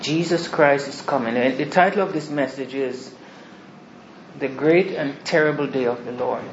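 A soft-spoken man giving a rambling, informal sermon introduction to a small congregation. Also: normal speed, a little hiss or hum. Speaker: visiting preacher. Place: small church hall. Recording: echoey hall, dull low-fi sound.

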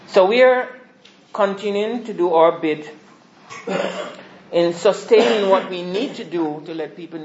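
A soft-spoken man giving a rambling, informal sermon introduction to a small congregation. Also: a little hiss or hum. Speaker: visiting preacher. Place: small church hall. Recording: echoey hall, dull low-fi sound.

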